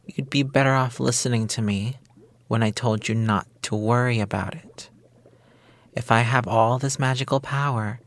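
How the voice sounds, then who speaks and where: A man's voice: medium pitch, no echo, lightly acted. Coy, teasing secret witch, acted by a man. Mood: flustered, evasive, then veiled menace. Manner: flippant, evasive, teasing, cutesy slang. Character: coy, playful partner, secretly a witch, veiled menace. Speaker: ASMR roleplay performer. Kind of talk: intimate ASMR roleplay monologue, girlfriend-experience script.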